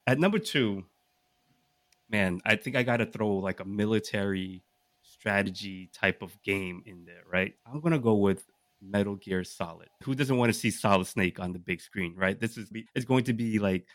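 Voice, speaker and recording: A man's voice: on the low side, normal speed, fairly bright tone, no room sound. A man co-hosting a podcast, talking casually and enthusiastically through his picks, often throwing out rhetorical questions. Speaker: podcast co-host. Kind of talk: casual chat, pitching a list of picks to the group.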